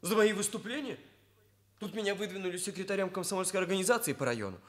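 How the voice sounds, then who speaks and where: A man's voice: high voice, animated, quick pace. An actor playing an earnest young male hairdresser who talks in stilted, pompous officialese in a Soviet radio play. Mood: aggrieved, earnest. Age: young adult. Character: earnest, aggrieved young male hairdresser, stiffly bureaucratic speech. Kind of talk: Soviet radio drama acting.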